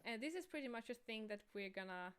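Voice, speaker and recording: Higher-voiced Swedish-accented woman, chatting casually. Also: lively intonation, fast, fairly bright tone, clear, resonant tone. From Sweden. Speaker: game creator hosting a livestream. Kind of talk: casual livestream chat.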